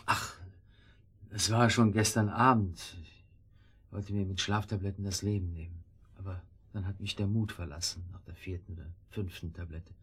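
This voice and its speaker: A low-voiced, guilt-ridden man in a radio drama. His voice is husky and worn. He sounds groggy and hungover, and his words come heavily and haltingly. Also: steady tone, fairly bright tone, exaggerated voice. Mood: weary, evasive. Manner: halting, heavy-tongued, weary. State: groggy, hungover after drink and pills. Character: guilt-ridden, cowardly man dictating a murder confession. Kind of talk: German radio drama acting.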